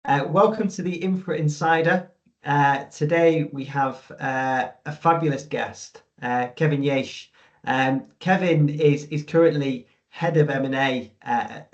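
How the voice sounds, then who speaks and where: A man hosting an interview podcast, speaking conversationally in halting, hesitant phrases. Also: medium pitch, highs cut off. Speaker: podcast host.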